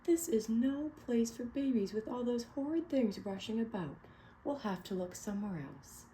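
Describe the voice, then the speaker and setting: A woman's voice: a little high, lively intonation, normal speed, warm tone, slight background noise, voice pushed up, close to an everyday voice. A woman voicing a fussy, anxious mother duck in a read-aloud storybook, with fretful squawking when alarmed.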